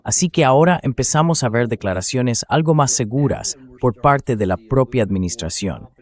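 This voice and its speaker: A man's voice: medium pitch, very animated, normal speed, darkish tone. A man's synthetic AI voice reading a translated political commentary as a voice-over.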